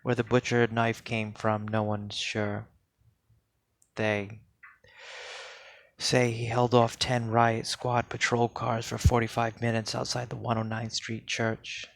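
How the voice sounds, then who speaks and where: A man reads poetry aloud in a solemn spoken-word style, with deliberate, measured phrasing and pauses. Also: mid-range voice, completely flat delivery, creaky, no room sound. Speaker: poetry reader.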